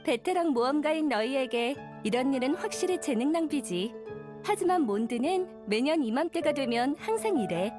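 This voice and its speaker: A woman's voice: sits high, expressive, moderate pace, over music. A woman voicing a kindly, polite young guild receptionist in a game, speaking in a courteous, explanatory way. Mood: grateful. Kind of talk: animated game dialogue acting.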